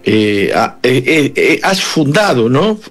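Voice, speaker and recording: A man's voice: medium pitch, big pitch swings, slow pace, fairly bright tone, soft background music. A man hosting a radio interview with a Uruguayan Spanish accent, asking long, wandering questions. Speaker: radio host.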